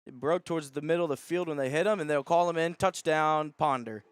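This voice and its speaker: A man calling live high school football as a radio play-by-play announcer. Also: fast, clean tone, dry recording.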